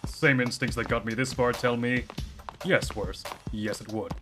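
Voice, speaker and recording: A man's voice: medium pitch, steady tone, moderate pace, over music, natural voice. A man playing a stubborn, world-weary detective with dry delivery in a noir parody audio drama. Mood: resolute. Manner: dry, forceful.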